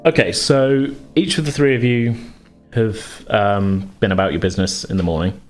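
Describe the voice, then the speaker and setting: A man's voice: lowish voice, steady tone, moderate pace, faint music behind. A man hosting a tabletop gaming podcast as game master, talking in an informal, conversational way. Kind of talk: casual podcast intro, recap and game narration.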